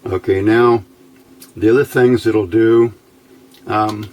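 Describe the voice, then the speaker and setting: A man's voice: fairly low pitch, fairly even intonation, slow, darkish tone. A man giving a casual hands-on demonstration as an electronics hobbyist, talking in a folksy, informal, rambling way.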